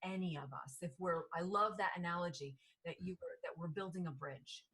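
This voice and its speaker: A woman's voice: on the low side, brisk, a bit bright, a little hiss or hum. A woman with a New Jersey accent, hosting a podcast in a rambling casual chat full of restarts and fillers. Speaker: podcast host.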